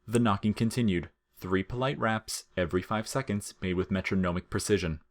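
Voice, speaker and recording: Male narrator for humorous audio fiction, deep register, deadpan and measured delivery. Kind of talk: scripted comic fiction narration.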